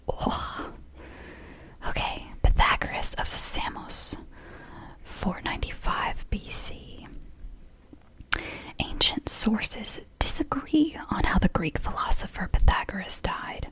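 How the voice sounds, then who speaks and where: ASMR whisper, thirties woman, sore throat, chatty asides, slightly high. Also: a bit bright, poor audio. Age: 30s. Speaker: ASMR creator. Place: home.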